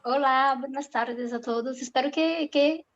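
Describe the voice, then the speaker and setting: A woman's voice: high voice, unhurried, highs cut off. A woman from Brazil with a Portuguese accent, asking a question on a video call, with lots of hesitation and fillers. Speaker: course participant.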